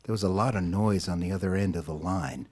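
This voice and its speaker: A man's voice: low-pitched, fairly quick, a little mellow, breathy edge. A man reading scripted story narration as the narrator of an audio drama.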